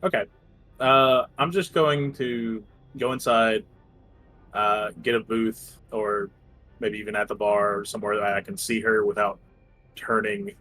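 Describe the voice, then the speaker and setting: A man's voice: on the low side, steady tone, measured pace, sharp tone, soft background music, low-quality recording. A man talking casually as a tabletop game player, hesitantly thinking aloud about his moves.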